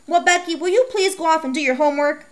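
A woman voicing a strict, nagging mother who scolds her daughter with rapid questions. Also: very high voice, voice pushed up. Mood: scolding.

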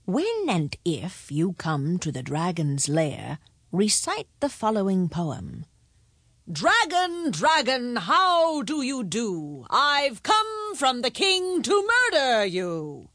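A woman voicing a wise old cobbler father in a storybook tale, calm, deliberate and firmly declaiming. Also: sits low, husky, dry recording, studio quality, full character voice. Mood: solemn, instructive. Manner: declaiming, slow and firm.

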